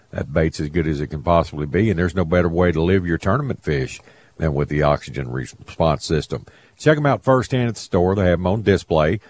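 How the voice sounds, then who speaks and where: A man hosting a fishing radio show, chatting in a relaxed, folksy way and reading ads. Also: low voice. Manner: laid-back, folksy, laughs easily. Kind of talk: casual radio chat and read ads.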